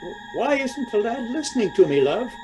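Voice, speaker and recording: A man's voice: very high-pitched, sing-song, brisk, lo-fi sound, music in the background. An actor playing a genial, slightly dim Irish businessman who talks in a hesitant, folksy way. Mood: befuddled. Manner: hesitant, folksy, easily amused.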